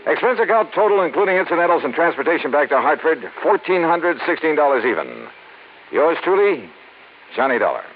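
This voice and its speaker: An actor playing a wry insurance investigator in a 1950s radio drama, talking and narrating with dry humor. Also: male voice, a little high, big pitch swings, brisk, background noise. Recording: tinny old radio broadcast with music.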